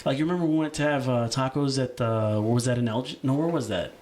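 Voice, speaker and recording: A man of middling pitch hosts a relaxed live stream, upbeat and quick to laugh. His friendly talk is full of "dude" and "man". A faint hiss sits behind his voice. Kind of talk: casual live-stream chat.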